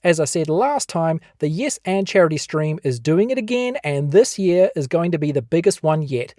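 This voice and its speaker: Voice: mid-range voice, expressive, normal speed, mellow, dry recording, studio quality. A podcast co-host with a New Zealand accent, reading a scripted outro with playful, mock-mysterious questions.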